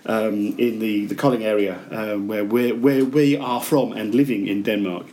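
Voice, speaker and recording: A man's voice: fairly low pitch, fairly even intonation, moderate pace, dark tone. A man co-hosting a podcast in casual chat, stumbling and repeating words as he talks. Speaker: podcast co-host.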